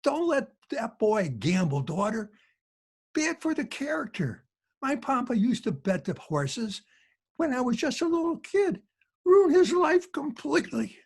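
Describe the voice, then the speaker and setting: A man playing a folksy old joker with a raspy voice, rambling along in an old-time radio comedy. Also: extremely high pitch, very animated, measured pace, heavily acted. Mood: scolding advice. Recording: home video-call recording.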